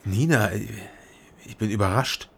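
A man's voice: on the low side, lively intonation, unhurried, slightly rough, heavily acted. An actor playing a reserved, probing man in a naturalistic radio drama, with an understated, dry delivery. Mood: reserved, reflective. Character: probing, reserved man investigating, also narrating.

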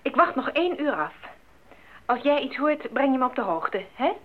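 An actress playing a worried missionary wife at a radio post in a Dutch radio drama. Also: female voice, high voice, big pitch swings, normal speed. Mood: anxious, controlled. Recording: muffled, narrow phone-like radio play recording.

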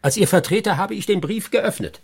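Lively, high-voiced mayor's assistant. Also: male voice, brisk, husky, heavily acted. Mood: agitated. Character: deferential male assistant to a town mayor. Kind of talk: German radio drama acting.

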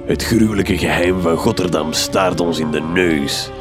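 A pompous male detective with a Flemish accent, voiced by an actor in a comic gothic radio play. He speaks in a very low register with theatrical self-assurance, and music plays clearly behind him. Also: natural voice. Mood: pompous deduction. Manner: pompous, theatrical, deliberate diction. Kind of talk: comic radio drama acting.

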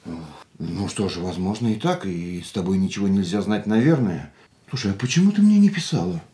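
A man's voice: fairly low pitch, expressive, brisk, dark tone, natural voice. A man playing a jealous, anxious lover in a radio play, insistent, questioning and earnest, in an echoey room. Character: jealous, anxious lover, an aging racing driver.

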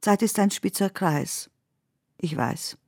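A woman reciting poetry in a radio play with measured, deliberate phrasing. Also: medium pitch, darkish tone, no echo, clean studio sound. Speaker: poet reading own text. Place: dry studio.

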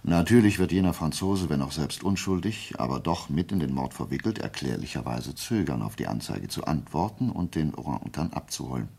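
An actor playing a shrewd, self-assured gentleman detective in a German radio play, reasoning deliberately and with sly politeness. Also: extremely low pitch, lively intonation, creaky, husky, full character voice. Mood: confident, reasoning.

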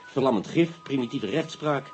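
An actor playing a probing, wry Dutch police detective in a radio play, questioning in a pressing, clipped way with muttered asides. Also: male voice, mid-range voice, animated, normal speed, a little mellow, soft background music, lightly acted. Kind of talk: radio drama acting. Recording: noisy radio play recording.

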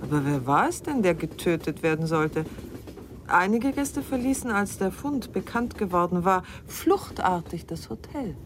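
An actress in a German radio drama playing a composed, probing middle-aged wife with a measured, pointed way of talking. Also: female voice, fairly low pitch, lively intonation, a little hiss or hum, close to an everyday voice.

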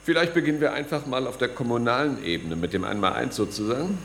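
An actor playing a proud, officious control room guide in a radio play, explaining things in formal bureaucratic language. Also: male voice, medium pitch, expressive, fast talker, creaky, fairly bright tone, a little hiss or hum. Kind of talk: German radio play acting.

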